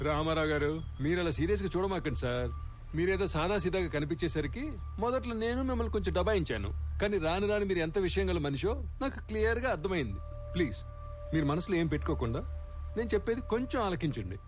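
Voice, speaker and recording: Wily policeman, film drama, high pitch, deferential and wheedling, music behind. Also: expressive, fast talker. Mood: earnest, confiding. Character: wily, deferential middle-ranking policeman, an adult man. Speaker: film actor. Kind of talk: Telugu film drama dialogue. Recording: film soundtrack with loud music.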